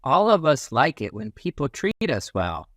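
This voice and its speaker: Animated man reading aloud to children. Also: mid-range voice, dry recording, clean studio sound. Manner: instructive, with drawn-out emphasis on key phrases. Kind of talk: expressive children's read-aloud.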